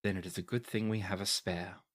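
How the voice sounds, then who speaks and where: A game master playing a cold, imperious empress with formal, grandiose and measured phrasing. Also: low voice, fairly even intonation. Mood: cold, resolute. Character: imperious, cold empress, guarded mother-in-law, faintly manic.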